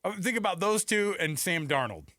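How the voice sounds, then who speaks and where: A man co-hosting a sports radio show, chatting casually and informally in an animated way. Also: sits high, normal speed, slight background noise. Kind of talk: casual sports talk banter.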